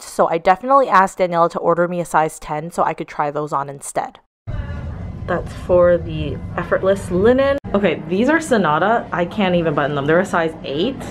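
A woman's voice: lowish voice, slight background noise. A young woman in her twenties chatting casually through a clothing try-on vlog, rambling with fillers as she films on a handheld camera in a shop. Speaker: fashion vlogger. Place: clothing store, indoors.

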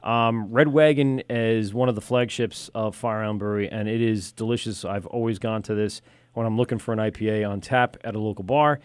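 A man's voice: on the low side, fairly even intonation, fairly quick, clear, resonant tone, dry recording. A man hosting a casual podcast chat in an informal, joking, rambling way, close on a studio microphone. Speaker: podcast host. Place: small radio studio. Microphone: close studio microphone on boom arm.

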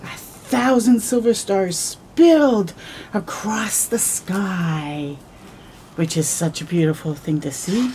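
A woman's voice: mid-range voice, a little hiss or hum. A middle-aged woman hosting a children's storytime, reading a picture book aloud expressively with little asides, recorded outdoors on a camera close by. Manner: expressive, slow storytelling with gasps and drawn-out words. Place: outdoors, porch or yard in early morning.

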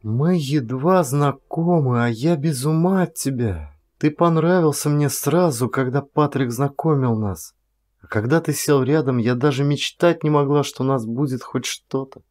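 A man's voice: mid-range voice, a little slow, a little mellow, voice pushed up, lightly acted. A man voicing an insecure young woman in love, emotional and confessional, in an audiobook. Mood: impassioned. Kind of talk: audiobook character dialogue. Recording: music under the voice.

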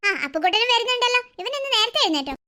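A woman's voice: extremely high pitch, lively intonation, racing, heavy background noise. A woman voicing a busy mother who scolds and calls out impatiently from the kitchen. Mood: exasperated.

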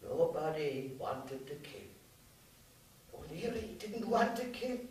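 An actor with an Irish accent playing an old war veteran on stage, eloquent, defiant and haunted, declaiming deliberately. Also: male voice, on the high side, very animated. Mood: anguished. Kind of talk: live stage play acting. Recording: echoey theatre stage, distant mic, faint hiss.

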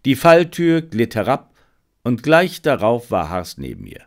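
Slow, dramatic male storyteller, lively pitch. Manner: dramatic, suspenseful delivery. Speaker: audiobook narrator. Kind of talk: scripted narration of a detective adventure.

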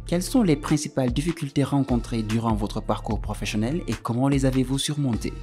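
Guy off camera asking interview questions, calm, music under it. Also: medium pitch, fairly quick. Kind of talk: reading prepared interview questions.

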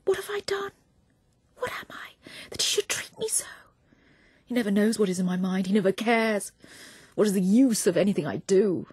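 Woman acting a bitter, breathy young wife. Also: mid-range voice, big pitch swings, normal speed, long pauses, a little mellow, no room sound, pitched above the performer's own voice. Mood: anguished, angry. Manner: anguished, emphatic.

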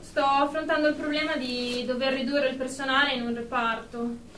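A woman's voice: high voice, fairly even intonation, moderate pace, a bit bright. An actress playing a haughty, irritable businesswoman in therapy, snappish and precise, in a comic radio drama. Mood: self-important, aggrieved. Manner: snappish, self-important, precise. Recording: roomy, distant mic with faint noise.

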